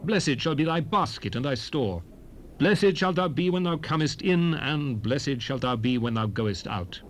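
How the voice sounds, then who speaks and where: A man playing a solemn prophet, reciting scripture in a measured, declamatory way for a dramatized Bible recording. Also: on the high side, expressive. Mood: solemn, exhorting. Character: solemn male prophet proclaiming blessings and curses. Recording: hissy 1970s cassette recording.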